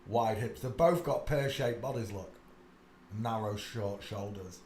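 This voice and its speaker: A man's voice: lowish voice, measured pace, darkish tone, a little hiss or hum. A man hosting his channel, talking insistently in a casual, rambling monologue while going through pictures, with many asides and "uh"s. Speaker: channel host. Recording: echoey room with faint ambience.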